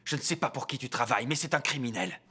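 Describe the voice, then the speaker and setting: Sharp-voiced, betrayed cartoon ex-villain. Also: male voice, medium pitch, fast, husky. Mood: betrayed, indignant. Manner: dramatic, wounded. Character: betrayed, indignant reformed male ex-villain. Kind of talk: animated series dub.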